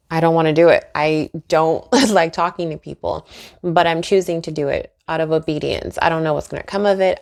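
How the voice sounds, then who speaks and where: A woman's voice: low voice, a little mellow. A woman vlogging to camera about her faith, speaking earnestly and encouragingly, with frequent small laughs. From Trinidad and Tobago. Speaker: YouTuber and author. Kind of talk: faith-based vlog talk to camera.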